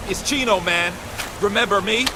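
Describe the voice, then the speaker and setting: A man's voice: normal speed, natural voice. An actor playing a cocky, streetwise male marine with a slangy, teasing way of talking in a video game. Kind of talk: casual military game banter. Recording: very loud game music and rumble.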